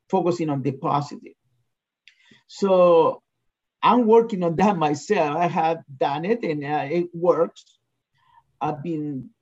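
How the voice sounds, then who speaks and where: Man, earnest and reflective, slightly high voice, muffled lo-fi audio. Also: a little mellow. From Chile. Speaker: discussion participant. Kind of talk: sharing a personal opinion.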